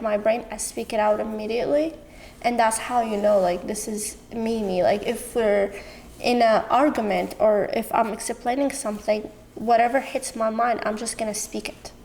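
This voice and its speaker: A woman's voice: a little high, darkish tone, a little hiss or hum, rough recording. A woman from Syria with a Syrian Arabic accent and Arabic as her native language, talking expressively and informally as a podcast guest. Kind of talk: casual personal interview conversation.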